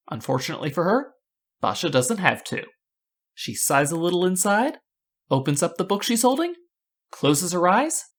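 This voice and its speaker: Lively low-voiced woman, slightly husky. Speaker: video host. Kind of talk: scripted fan analysis narration.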